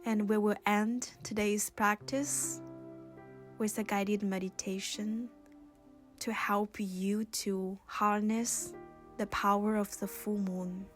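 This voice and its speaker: Slow, even-toned woman guiding yoga over music. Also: medium pitch. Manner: soothing, gentle, unhurried guiding with pauses. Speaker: yoga instructor. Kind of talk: guided yoga and meditation instruction.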